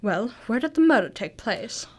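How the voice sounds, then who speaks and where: Woman acting as curious female detective's companion, slightly high voice, cheery, old-fashioned politeness, radio drama. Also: big pitch swings, normal speed, breathy edge. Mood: intrigued.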